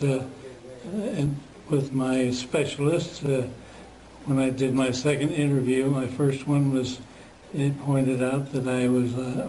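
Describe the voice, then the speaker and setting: An elderly man in his eighties giving an unscripted testimonial, halting and full of fillers and pauses. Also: mid-range voice, warm tone, slight background noise, rough recording. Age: 80s.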